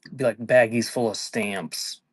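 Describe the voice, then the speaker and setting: A man speaks at a slow, unhurried pace in a casual live-auction style. His delivery is laid-back and conversational, and the sound is dry and close, with little room to it. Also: medium pitch, steady tone, breathy edge, dry recording. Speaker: live auction seller and host.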